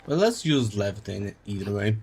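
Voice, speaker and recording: A man's voice: on the low side, big pitch swings, moderate pace, a little mellow, breathy edge. A man giving casual, wry live commentary as he streams a video game. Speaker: livestreamer.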